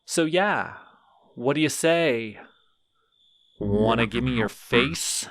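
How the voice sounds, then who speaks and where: A man's voice: mid-range voice, animated, slow pace, a bit bright. A man voicing a friendly, lonely male skeleton in an audio roleplay, rambling and apologizing a lot to the listener. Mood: wheedling. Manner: rambling, sheepish, over-apologetic.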